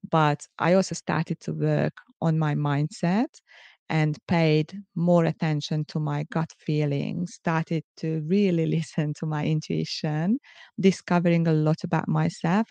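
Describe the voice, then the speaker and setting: A middle-aged woman with a Hungarian accent, hosting a solo podcast in a measured, earnest, explanatory way. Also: deep voice, little pitch movement, gravelly, warm tone, dry recording, highs cut off. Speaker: podcast host. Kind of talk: solo podcast monologue, semi-scripted.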